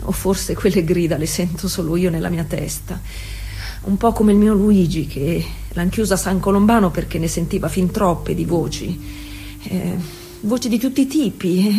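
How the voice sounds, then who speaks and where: A woman's voice: medium pitch, slight background noise, natural voice. An actress playing a feisty, devout working-class woman cyclist, confiding in Jesus in a colloquial stage monologue, repeating words insistently. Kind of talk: theatrical monologue, intimate and colloquial.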